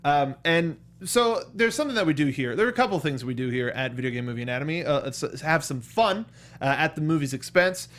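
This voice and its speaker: Male review-show host, American, slightly high-pitched, unscripted and conversational, halting with repeats. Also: expressive, fairly bright tone. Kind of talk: unscripted film review chat.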